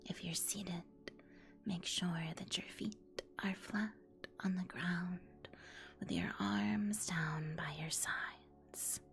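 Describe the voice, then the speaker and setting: A woman whispering a guided meditation in ASMR style, soothing and breathy, giving hushed instructions with long pauses. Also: on the low side, fairly even intonation.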